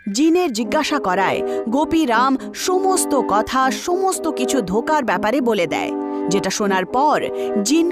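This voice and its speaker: A woman's voice: high-pitched, big pitch swings, moderate pace. A woman narrating a scripted cartoon story as its storyteller. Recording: music behind the voice. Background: music.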